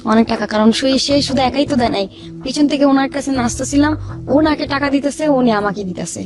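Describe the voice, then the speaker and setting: A woman's voice: high-pitched, fairly quick. A woman with a Bangladeshi accent tells her life story informally as a guest, acting out the dialogue as she goes. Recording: music under the voice.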